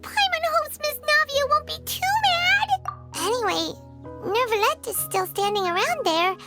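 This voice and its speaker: A woman voicing a tiny, childlike flying fairy companion in a video game, with a squeaky voice and expressive, chirpy delivery. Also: measured pace, loud music behind the voice, heavily acted. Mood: worried, sheepish. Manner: childlike, refers to herself in third person, expressive. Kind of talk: video game dialogue acting.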